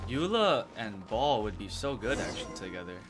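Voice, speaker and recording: Male game streamer: casual slangy live reactions, highly animated pitch, game music clearly behind. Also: moderate pace.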